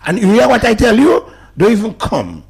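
A man with a Dominican accent, a guest on a talk-radio show, speaking in emphatic, animated bursts that drop into low mutters. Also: normal speed, gravelly. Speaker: studio guest. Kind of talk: passionate talk-radio discussion.

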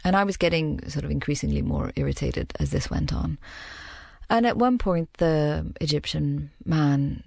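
A woman with a low voice and a gravelly edge tells her story in an interview. She speaks in a reflective, measured way and often pauses to find the right words. The recording is close and dry. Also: sing-song, a little mellow, dry recording. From Middle East. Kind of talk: personal account in an interview.

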